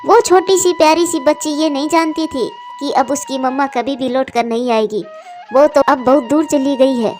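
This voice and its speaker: A woman's voice: high-pitched, moderate pace, darkish tone, soft background music. A woman narrator reading a scripted children's cartoon story.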